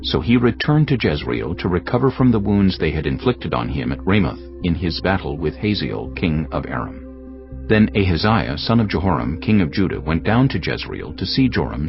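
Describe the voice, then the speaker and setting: A man narrating scripture in a measured, solemn reading. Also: sits low, muffled recording.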